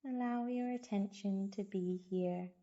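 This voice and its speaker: Slow, gravelly-edged woman guiding meditation. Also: mid-range voice, muffled recording. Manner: soothing, unhurried, gentle instruction with long pauses. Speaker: yoga and meditation guide. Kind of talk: guided body scan meditation.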